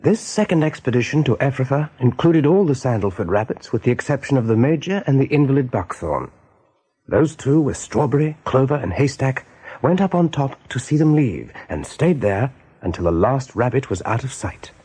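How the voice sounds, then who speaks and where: A man narrating a radio play in a measured, literary storytelling manner. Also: mid-range voice, very animated, dark tone, husky.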